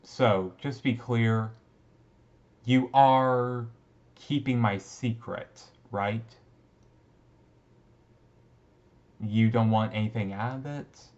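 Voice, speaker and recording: A man playing a shy, young-looking goth vampire classmate in a romantic roleplay, speaking hesitantly and casually to the listener with gentle teasing. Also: mid-range voice, fairly even intonation, slow, highs cut off, close to an everyday voice. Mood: casual, explaining. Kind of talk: romantic roleplay audio drama, talking to listener.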